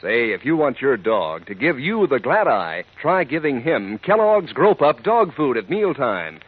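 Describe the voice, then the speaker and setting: A man's voice: medium pitch, very animated, measured pace, music in the background. A man reading scripted 1940s radio advertising as an announcer, folksy and upbeat, on a dull old recording.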